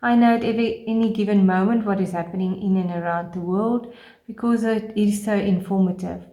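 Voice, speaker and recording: A woman's voice: mid-range voice, fairly even intonation, brisk, mellow, reverberant. A woman giving an emotional, heartfelt testimony as a radio listener.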